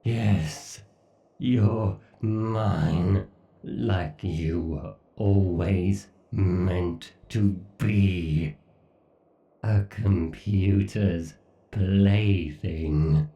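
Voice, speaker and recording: A man playing an obsessed, sadistic, godlike AI that speaks with slow, theatrical menace in a horror ASMR roleplay. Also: low-pitched, mellow, reverberant, recorded from a distance, full character voice. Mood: possessive, sadistic. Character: obsessed, sadistic, godlike male AI tormenting its captive human.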